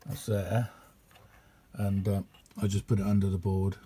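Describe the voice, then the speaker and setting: A man giving a casual, rambling hands-on demonstration as a radio hobbyist, chatty and hesitant in an unhurried way. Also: deep voice, monotone, dark tone, husky.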